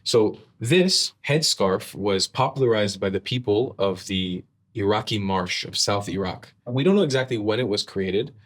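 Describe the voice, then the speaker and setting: A man's voice: on the low side, expressive, normal speed. A man, a podcast guest, explaining with emphasis in conversation, often repeating words as he starts a sentence.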